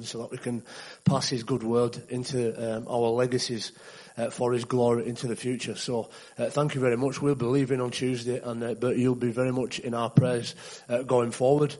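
A man's voice: medium pitch, flat delivery, a little fast, a little mellow. A man with a West Yorkshire accent speaks politely and earnestly at a church service. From England. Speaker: visiting churchgoer. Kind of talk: church testimony.

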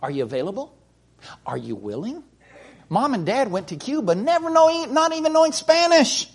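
Middle-aged American man preaching, high-pitched and husky, folksy delivery with frequent restarts. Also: very animated, moderate pace. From United States. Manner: folksy, repeats words and starts over. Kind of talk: sermon.

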